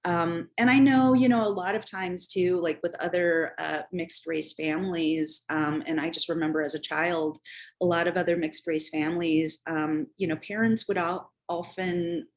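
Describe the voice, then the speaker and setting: A middle-aged woman from Chicago, speaking on a panel about her upbringing in a halting way, with many pauses and fillers. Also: lowish voice, steady tone. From Chicago, United States. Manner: halting, many "um" and "you know". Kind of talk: personal story on a panel.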